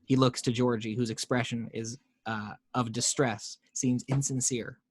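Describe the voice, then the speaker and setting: A man's voice: medium pitch, flat delivery, measured pace, slightly rough, no echo. A man narrating screenplay action and scene directions as the reader in a scripted table read.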